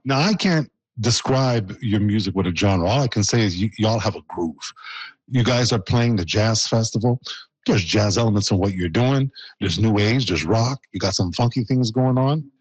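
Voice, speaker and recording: A man's voice: on the low side, expressive, normal speed, slightly rough, no echo, rough recording. Guy hosting a band interview, jokey, lots of laughs, dry sound. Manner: joking, teasing, laughs often. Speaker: host. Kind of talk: playful broadcast interview.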